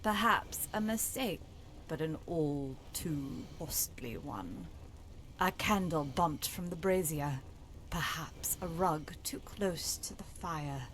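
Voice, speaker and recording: A woman voicing the knowing spirit of a dead sister in a game mod, measured and portentous, with hushed phrase endings. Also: low voice, lively intonation, a little hiss or hum, heavily acted, low-quality recording. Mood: cold, accusing. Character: long-dead sister's spirit, solemn, knowing, finally forgiving. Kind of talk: dramatic video game mod voice acting.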